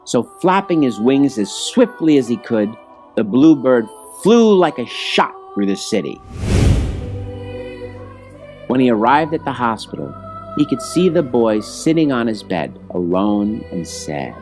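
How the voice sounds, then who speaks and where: A man's voice: mid-range voice, very animated, a little slow, a little mellow, faint music behind. A man reading a scripted children's story aloud as the narrator.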